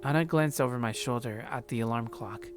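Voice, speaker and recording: A let's play host reading a visual novel aloud dramatically, with slangy, expressive casual commentary. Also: medium pitch, a little fast, over music.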